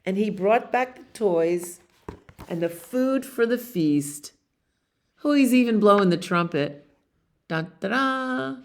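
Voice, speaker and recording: A woman in her sixties doing an expressive children's storybook read-aloud, with dramatic pauses and emphasis. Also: medium pitch. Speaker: library storytime reader. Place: small library community room, indoors.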